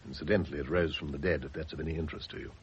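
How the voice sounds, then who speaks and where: An actor playing a serene, bookish man of quiet conviction in a radio drama, earnest and deliberate. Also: extremely low pitch, fairly even intonation. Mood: dry, helpful. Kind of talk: 1970s British radio drama acting. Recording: dull, noisy old radio drama recording.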